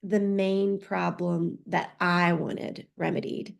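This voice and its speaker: Reflective woman with a gravelly edge. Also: lowish voice, flat delivery, takes it slowly. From United States. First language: Spanish. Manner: reflective, open, with frequent restarts. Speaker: podcast guest, author. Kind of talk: personal story in an interview.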